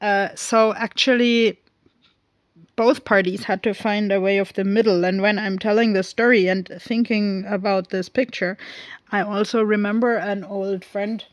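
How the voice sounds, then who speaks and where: A woman recapping a film in a casual, rambling way, with many pauses and fillers. She speaks English as a second language and often goes off on tangents. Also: medium pitch, steady tone, no echo. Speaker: film reviewer.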